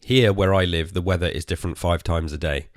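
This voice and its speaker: A tired, hoarse man with an English accent, rambling casually as host of his own podcast, swearing and poking fun at himself. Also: low voice, steady tone, fairly quick, no echo, studio quality. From England. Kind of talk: casual solo podcast chat.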